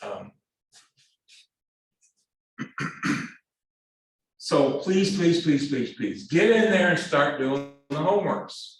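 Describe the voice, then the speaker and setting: A man lecturing a math class, speaking in a halting, earnest way with repeated words and many fillers. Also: on the high side, sing-song, a little hiss or hum. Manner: earnest, pleading. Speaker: math instructor. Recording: very echoey classroom, distant mic.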